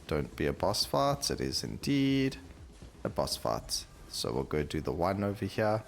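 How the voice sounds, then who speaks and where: A man's voice: a bass voice, sing-song, a little slow, creaky. A man hosting a gaming video, giving casual, conversational commentary and thinking aloud as he plays. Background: game music.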